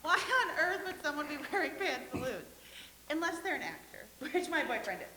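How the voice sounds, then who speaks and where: An actress playing a genteel young woman from the Civil War era, speaking in a bright, lilting way. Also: high voice, very animated, normal speed, exaggerated voice. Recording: echoey room with faint hiss.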